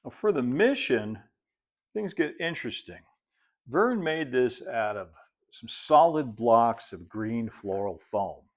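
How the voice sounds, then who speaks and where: A man, narrating a hands-on hobby tutorial in a relaxed, folksy way, as if thinking aloud while he works. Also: medium pitch, slow pace. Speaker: hobbyist presenter of model railroad build videos.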